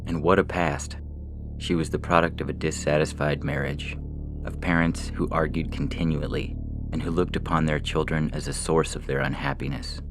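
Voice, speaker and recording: A man reading a science fiction short story as scripted literary narration, in a measured, formal way, with music behind him. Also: very deep voice, fairly even intonation, creaky, poor audio. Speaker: story reader. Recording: music bed under the voice.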